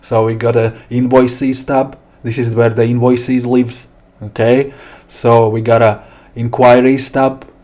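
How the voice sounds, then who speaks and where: A middle-aged man from Latvia with a Latvian accent and non-native English, giving an informal product walkthrough with deliberate, hesitant phrasing. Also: medium pitch, little pitch movement, darkish tone. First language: not English. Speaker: software developer presenting his product. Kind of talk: informal screen demo walkthrough.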